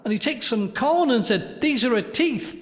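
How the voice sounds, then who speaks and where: A man voicing an excited know-it-all child in a horror story, explaining eagerly and gleefully. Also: extremely high pitch, normal speed, voice pushed up. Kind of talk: dramatic horror story reading. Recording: long cavernous reverb.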